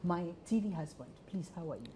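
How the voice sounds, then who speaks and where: A woman's voice: lowish voice, lively intonation, brisk, mellow, a little hiss or hum. A woman with a Ghanaian accent chatting playfully and effusively as host of a live morning television show.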